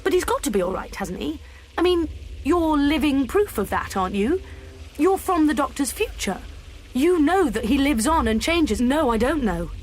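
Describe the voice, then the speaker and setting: A woman's voice: high voice, sing-song, moderate pace, a little mellow, slight background noise. An actress in an audio drama playing earnest, emotional young female companions who are identical doubles at odds. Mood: agitated, defiant.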